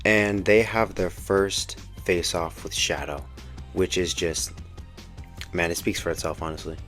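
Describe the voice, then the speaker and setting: Young guy doing a casual film review, lowish voice, slangy fan talk, music playing behind him. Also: measured pace, a bit bright. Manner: slangy, informal, earnest fan enthusiasm. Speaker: video essay host reviewing a film. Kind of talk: casual opinion commentary. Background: background music.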